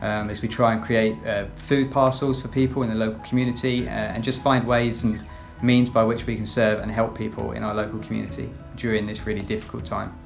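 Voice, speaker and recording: English man speaking in measured tones. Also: on the low side, little pitch movement, vocal fry, faint music behind. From England, West Sussex. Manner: measured, with frequent fillers. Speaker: children's pastor. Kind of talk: recorded personal update.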